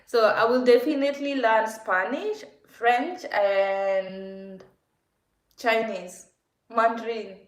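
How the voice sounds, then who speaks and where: A woman's voice: a little high, slow pace, reverberant. A young woman from Kenya with a Kenyan accent, chatting casually on a livestream as she answers viewer questions, rambling a little and restarting her sentences. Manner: chatty, rambling, with frequent restarts and soft laughs.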